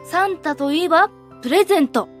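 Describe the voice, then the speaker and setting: A woman's voice: very high voice, expressive, a little fast, faint music behind. A woman playing a mischievous tomboyish young witch in an anime-style fan voice drama, brash and boyish in her speech. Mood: cocky, scheming.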